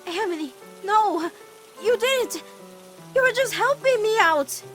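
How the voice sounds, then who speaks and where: A woman voicing an earnest, emotional teenage girl weighed down by guilt, in an animated-style comic dub, speaking haltingly. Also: very high voice, faint music behind, voice pushed up, exaggerated voice. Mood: distressed, protesting. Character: guilt-ridden, self-blaming teenage human girl.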